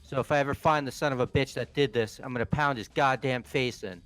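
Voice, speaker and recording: A man acts out a brash young tough from a video game, quick to swear and quick to anger. His pitch sits in the middle of the male range. He talks bluntly, and faint music plays behind him. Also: a bit bright, smooth, close to an everyday voice. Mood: furious, threatening. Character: hot-headed foul-mouthed young male tough guy. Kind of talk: fan voice acting of a visual novel game.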